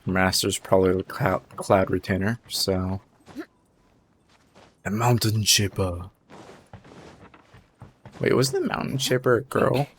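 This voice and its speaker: A man's voice: lowish voice, steady tone, slow pace, pauses often, slight background noise. A man doing casual gameplay commentary as a streamer, thinking aloud in an offhand way. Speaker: game streamer.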